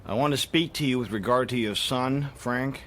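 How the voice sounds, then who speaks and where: A man's voice: medium pitch, normal speed, fairly bright tone, slight background noise, natural voice. An actor with an American accent playing a controlled, determined, secretive man who also narrates a radio drama. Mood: cool, insistent. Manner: controlled, insistent. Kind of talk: radio drama acting with first-person narration.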